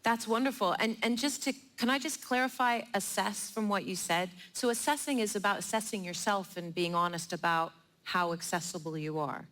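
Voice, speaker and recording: A woman hosts a live panel at a mid-range pitch. She speaks in an encouraging, affirming manner as she moderates the discussion. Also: moderate pace, few pauses, a bit bright. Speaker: panel host. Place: live event in a theatre.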